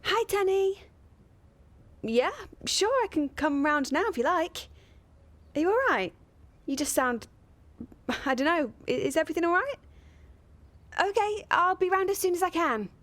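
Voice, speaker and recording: Sardonic young woman, high-pitched and very animated, radio drama. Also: unhurried, long pauses, full character voice. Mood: concerned. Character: sarcastic, quick-witted young woman shop worker. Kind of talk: radio soap acting.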